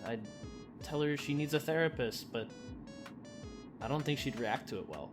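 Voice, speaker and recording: A woman's voice: a bass voice, expressive, moderate pace. A woman voicing an eager, insecure, boyish young man in an audio drama, earnest and a little hesitant. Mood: casual, sympathetic. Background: clear music.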